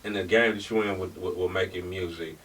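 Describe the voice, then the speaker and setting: Very deep-voiced man asking questions. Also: fairly quick. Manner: relaxed and appreciative, asks questions. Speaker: podcast co-host. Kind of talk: casual podcast chat. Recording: distant mic with ambient noise.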